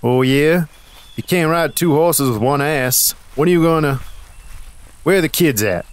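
A man's voice: on the high side, a little slow, clear, resonant tone, lightly acted. A man in an audio drama playing a worried, stern father on a family road trip. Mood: weary, uneasy. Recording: faint ambient noise.

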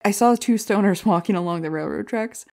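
A woman's voice: medium pitch, brisk, vocal fry, a little mellow, close to an everyday voice. An actress playing a brash, self-deprecating female stand-up comic, delivering jokey rhetorical setups and punchlines in an audio drama. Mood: wry, joking. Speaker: comedy contest contestant.

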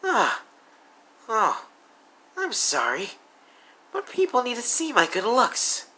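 A man's voice: very high-pitched, huge pitch swings, slow pace, pushed and bright, slightly rough, voice pushed up, exaggerated voice. A man voicing a cocky, vain young male villain who drives the getaway truck. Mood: smug. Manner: lazy, teasing, vain. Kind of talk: fan audio roleplay.

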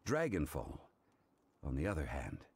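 A deep, composed gentleman of old wisdom in a video game. He is formal and erudite, choosing his words with measured care. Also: huge pitch swings, gravelly, a little hiss or hum, full character voice. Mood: grave, lecturing. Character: calm, learned, dignified gentleman of ancient wisdom.

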